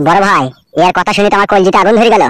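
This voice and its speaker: Man voicing a quick, high-voiced village sidekick. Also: steady tone, a bit bright, no echo, clean studio sound. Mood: concerned. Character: deferential scheming male sidekick of a village boss. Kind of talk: comedy cartoon dialogue.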